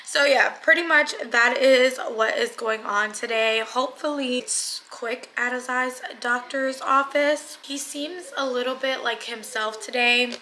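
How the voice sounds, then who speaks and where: A woman's voice: on the high side, measured pace, hardly any pauses, sharp tone. A young woman chatting casually and confidingly to her viewers as a family vlogger, speaking informally at home. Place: indoors at home. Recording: echoey room with background noise.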